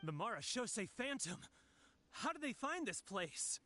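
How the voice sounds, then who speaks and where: High, bright, animated guardsman. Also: a little slow, slight background noise, exaggerated voice. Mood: alarmed. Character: eager, nervous male guard, shifty underling. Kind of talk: English video game dub acting.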